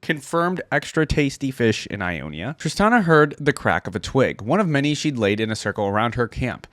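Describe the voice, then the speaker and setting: A man's voice: medium pitch, sing-song, moderate pace, a bit bright, no room sound. Man narrating a story in dry, close sound. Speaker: reaction video host. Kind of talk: reading a story aloud with reactions.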